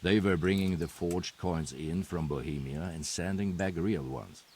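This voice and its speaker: An actor playing a stern knight who calmly reports on forged coins in a video game cutscene, speaking bluntly and without fuss. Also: male voice, sits low, measured pace, a little hiss or hum. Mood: terse report. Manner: blunt, matter-of-fact reporting. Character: grim male knight investigating counterfeiters.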